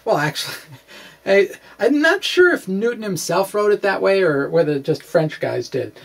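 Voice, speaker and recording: A middle-aged man giving an informal explanation to camera as a physics blogger, halting and often repeating words. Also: sits high, very animated, gravelly. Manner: halting, hesitant, full of repeated words and tags like "okay?"; chuckles as he talks. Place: small indoor room with bookshelves.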